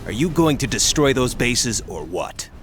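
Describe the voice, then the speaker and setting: An actor playing a gruff, distrustful soldier who needles and goads in a scornful way in a video game. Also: male voice, medium pitch, sing-song, moderate pace, lightly acted. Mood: scornful, goading. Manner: needling, colloquial. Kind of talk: scripted video game dialogue. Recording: music behind.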